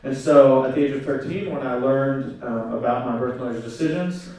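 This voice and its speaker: A man's voice: medium pitch, warm tone, distant mic. Earnest young man in an echoey hall. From South Korea, raised in Oklahoma. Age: 20s. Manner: earnest, many fillers and pauses. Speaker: conference speaker. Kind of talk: personal testimony talk. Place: large hall on stage. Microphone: handheld mic. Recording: echoey hall, recorded from afar.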